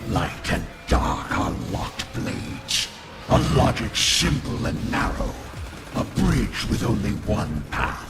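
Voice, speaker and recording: Ominous oracle, low voice, incantatory menace, cryptic game taunts, loud score behind. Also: male voice, big pitch swings, slow, over loud music. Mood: menacing, solemn. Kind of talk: scripted video game voice acting.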